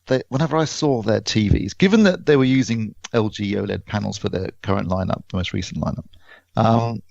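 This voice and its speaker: Gravelly British man chatting casually. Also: on the low side, brisk, a little mellow, no echo, lo-fi sound. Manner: rambling, conversational. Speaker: podcast reviewer. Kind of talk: casual podcast discussion.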